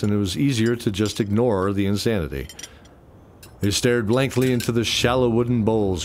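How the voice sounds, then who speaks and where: A man narrating a radio play in a deliberate, descriptive, dramatic storytelling style. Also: lowish voice, a little hiss or hum. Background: music bed.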